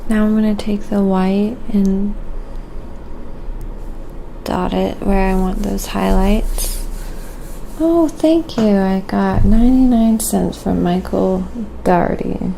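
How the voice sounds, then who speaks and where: A woman livestream host speaking softly and breathily in a casual ASMR style, often slipping into a whisper as she muses aloud while painting and thanks viewers. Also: medium pitch, slow pace, a little hiss or hum, low-quality recording. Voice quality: soft, breathy, often dropping into whisper and fry.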